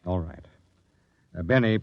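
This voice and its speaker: Casting: commanding expedition captain, measured and somber, slightly low voice, 1950s radio style. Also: male voice, no room sound. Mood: concerned. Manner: grave, measured, dramatic. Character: steady, authoritative male expedition captain recounting a doomed mission. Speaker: narrator and lead character. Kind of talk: 1950s radio drama narration and dialogue. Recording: muffled vintage 1950s radio recording.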